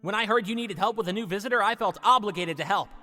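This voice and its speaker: A man's voice: sits high, fast, bright, forceful tone, faint music behind, voice pushed up. A man voicing an overeager, gushing male volunteer guide in an audiobook. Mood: excited, eager. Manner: gushing, eager. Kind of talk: audiobook character dialogue.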